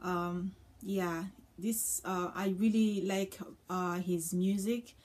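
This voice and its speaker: A young woman vlogs in a small room, her voice a little on the low side. She speaks, unhurried, filling gaps with ums and uhs. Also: fairly even intonation, a little mellow. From Congo. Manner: chatty, with many ums and uhs. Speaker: vlogger. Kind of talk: casual talk to camera. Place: small indoor room with floral curtain.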